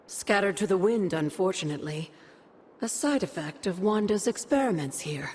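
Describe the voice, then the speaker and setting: A woman playing the ghost of an old witch mentor in a video game, doting, cryptic and teasing, in an unhurried way. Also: mid-range voice, lively intonation, darkish tone, slight background noise, lightly acted. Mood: instructive. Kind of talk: video game cutscene acting. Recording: music behind the voice.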